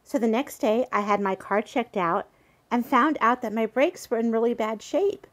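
A woman's voice: a little high, moderate pace, natural voice. A woman playing a gullible, effusive customer who trusts her psychic completely, in an audio drama. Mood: grateful, gushing. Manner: earnest, effusive.

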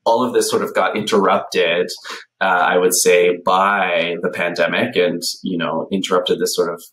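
Brief: man in his thirties, deep voice, animated podcast guest on a video call, chatty with many ums. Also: normal speed, rough recording. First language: English. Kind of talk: casual conversational storytelling. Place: small bright room at home. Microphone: headphones on a video call.

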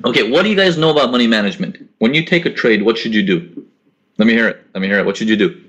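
A man's voice: fairly low pitch, fast, fairly bright tone. Man hosting a casual trading webinar. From grew up in Korea and the US. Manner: casual, repeats himself, coaching tone with rhetorical questions. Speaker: forex trading webinar host. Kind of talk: live webinar Q&A, reading chat questions. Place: small indoor room at home. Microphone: laptop webcam mic on a video call.

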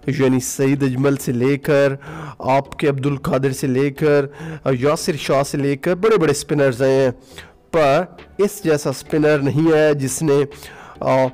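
A man's voice: on the high side, darkish tone. Man, faint music behind. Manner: casual, many "aa" fillers, mixes in English phrases. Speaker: cricket commentary vlogger. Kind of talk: solo cricket commentary over music. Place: voice-over, not recorded at the ground.

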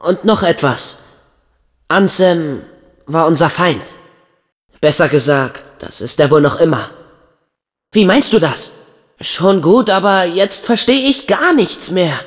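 A man playing an eager, loyal teenage boy hero in a video game, with an expressive, earnest, youthful delivery. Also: fairly high pitch, takes it slowly, pauses often, big-room sound. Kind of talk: video game voice acting, German dub.

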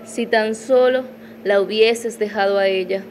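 A woman's voice: medium pitch, steady tone, a little slow, darkish tone, slight background noise, natural voice, low-quality recording. A woman playing a defiant, scheming widow in a radio soap opera, taunting and dramatic. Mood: bitter, accusing.

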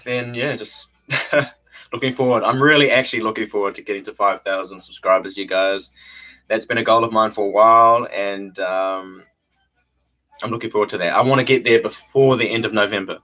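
Young kiwi guy on a webcam livestream, lowish voice but bright, chill and chuckly, says stuff twice. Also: steady tone, brisk. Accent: New Zealand. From New Zealand. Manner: laid-back, chuckles often, repeats phrases. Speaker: vlogger hosting a livestream. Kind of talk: casual livestream chat answering viewers. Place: small bare room indoors. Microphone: webcam at desk distance.

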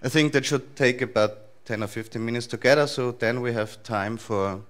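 A man's voice: mid-range voice, normal speed. Austrian man giving a conference talk. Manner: relaxed, thinking aloud with frequent fillers and restarts. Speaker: conference speaker. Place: large wood-panelled lecture hall.

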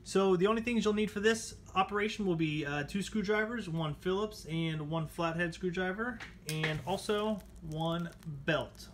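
A man's voice: high voice, normal speed, hardly any pauses, noisy background. A man hosting a casual repair tutorial, chatting informally as he talks viewers through the steps. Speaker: how-to channel host. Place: indoors at a table at home.

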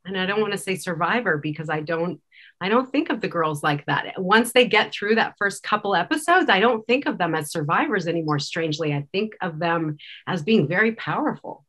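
A woman in her forties speaking thoughtfully and a bit haltingly as an interview guest on a video call. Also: lowish voice, animated, fairly bright tone. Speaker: podcast director, interview guest. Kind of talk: reflective conversational interview. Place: small indoor room, curtained.

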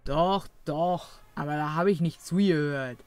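A woman's voice: low voice, measured pace, a little mellow. A performer voicing a blunt, colloquial, slovenly mother of grown sons, a woman in an audio drama parody.

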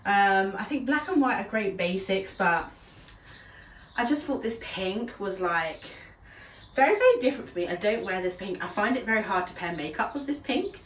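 A woman's voice: mid-range voice, big pitch swings, moderate pace, a little hiss or hum. A young woman with a stuffy nose from a cold, chatting casually with lots of ums and little laughs while trying on clothes at home. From United Kingdom. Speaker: fashion vlogger. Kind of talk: casual try-on haul chat. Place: small room at home, indoors. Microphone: camera across the room. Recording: roomy echo, voice a little far from the mic.

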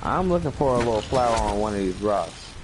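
A man's voice: fairly low pitch, very animated, brisk, noisy background. A man hosting a game stream, swearing and griping casually as he plays. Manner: profane, easily frustrated. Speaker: streamer host. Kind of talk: casual gaming chat. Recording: rain game sound behind voice.

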